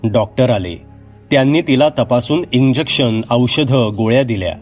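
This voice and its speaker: A male storyteller reads a scripted horror tale in a mid-pitched voice. Soft background music runs under his narration. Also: moderate pace. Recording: music behind the voice.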